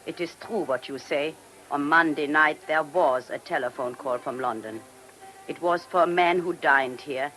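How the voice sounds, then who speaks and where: An actress playing a poised, guarded Viennese restaurant hostess in a radio play, with a German accent and formal delivery. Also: female voice, sits low, moderate pace, a bit bright, faint music behind, low-quality recording. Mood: composed. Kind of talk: radio drama acting.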